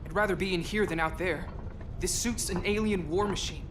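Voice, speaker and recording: Man as jittery young hero, fast rambling, clear noise behind. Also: sits high, fairly even intonation, lightly acted. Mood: nervous. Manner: quick, rambling. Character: young male hero in alien armor, nervous. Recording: noise underneath.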